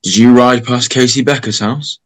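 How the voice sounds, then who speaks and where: A man playing a stern, weary middle-aged small-town sheriff in an amateur script read, gruff and measured. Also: medium pitch, fairly even intonation, natural voice.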